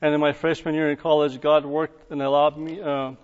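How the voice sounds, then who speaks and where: Halting man in an echoey hall. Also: fairly high pitch, little pitch movement. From Iran. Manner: halting, heavy with fillers and restarts, light chuckles. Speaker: speaker sharing his conversion testimony. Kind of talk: personal testimony talk to an audience. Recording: echoey hall, dull lo-fi sound.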